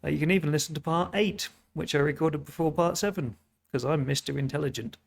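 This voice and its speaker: A British man with a sniffly, hoarse voice, tired and chatty in a self-mocking way, who hosts a storytelling show and reads an epic poem aloud. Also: on the high side, fast. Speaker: storyteller host. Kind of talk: casual intro, then reading an epic poem aloud.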